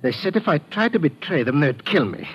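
A man's voice: fairly high pitch, sing-song, brisk, slightly rough, full character voice. A man playing a secretive, urgent, frightened informant out for revenge in an old radio drama, speaking in formal wording. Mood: bitter, fearful. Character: furtive, frightened, vengeful male informant. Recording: muffled, noisy old radio recording.

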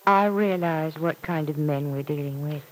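A woman's voice: sits low, moderate pace, close to an everyday voice, rough recording. An actress playing a serious, determined young woman in a vintage radio serial, speaking in clipped, tense replies. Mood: tense, resolute. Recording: vintage radio drama recording.

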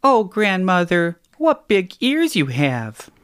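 Woman doing a slow, innocent little girl. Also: lowish voice, big pitch swings, clear, resonant tone. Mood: fearful. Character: naive trusting little girl in red cap. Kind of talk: storybook read-aloud.

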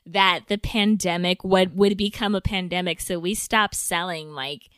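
A woman's voice: on the low side, steady tone, a little slow, no room sound, clean studio sound. A woman co-hosting a comedy podcast who talks in a rambling, self-assured way and defends herself against teasing. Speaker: podcast co-host. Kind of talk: casual comedic banter.

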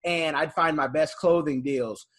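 A man's voice: a little high, a little slow, a bit bright. A man hosting a casual conversation, telling stories and laughing between remarks. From United States.

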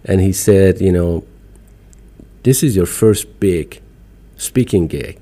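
Deep, warm-voiced Iranian man. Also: takes it slowly. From Iran. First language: Farsi. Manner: emphatic, motivational, repeats short phrases. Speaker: podcast guest. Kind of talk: casual interview talk.

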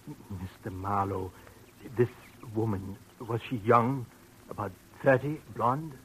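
An actor playing a worried, formal sanitarium doctor in a 1940s radio drama, speaking with a hesitant, proper manner. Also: male voice, lowish voice, takes it slowly. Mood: uneasy. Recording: noisy vintage radio recording.

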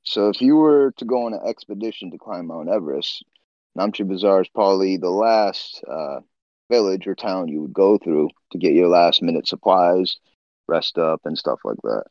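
Deep-voiced laid-back man from Nepal. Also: lively intonation, moderate pace, darkish tone, no echo, lo-fi sound. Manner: laid-back, laughs often, trails off with "uh" and "you know". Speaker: guest, swimbait builder. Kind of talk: casual storytelling interview.

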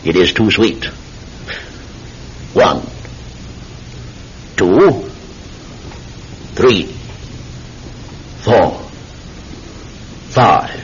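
Deep, swooping, sinister hypnotist. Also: male voice, very animated, heavily acted. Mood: controlled, satisfied. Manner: deliberate, commanding, hypnotic, theatrical. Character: sinister, controlled male hypnotist posing as polite tobacconist. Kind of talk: old-time radio drama acting. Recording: muffled, hissy old radio recording.

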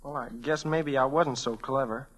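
Bright, youthful boy rival, acted by a man. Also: mid-range voice, moderate pace, natural voice, low-quality recording. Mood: sheepish. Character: smug, then sheepish teenage boy rival. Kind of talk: 1940s radio drama acting. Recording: vintage 1940s radio recording.

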